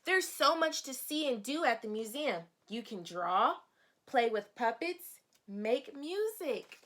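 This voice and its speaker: A woman with a fairly high voice reads to young children as their teacher. She is expressive and explains things in simple words, often putting questions to her listeners. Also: takes it slowly. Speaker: teacher reading a picture book aloud to young children. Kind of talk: read-aloud storytelling with questions to children.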